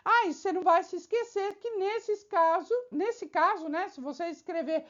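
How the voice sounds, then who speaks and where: A woman's voice: very high voice, normal speed, a bit bright, highs cut off. A woman teacher giving an exam-prep video lesson, explaining step by step with examples and frequent check-in questions to the viewer.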